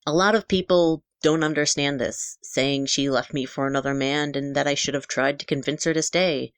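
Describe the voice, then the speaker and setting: A woman's voice: sits low, steady tone, moderate pace, clean tone, dry recording, studio quality. A woman voicing a middle-aged father in an audio story, guarded and wounded, earnest and apologetic. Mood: regretful, earnest. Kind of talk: dramatic character dialogue in a narrated audio story.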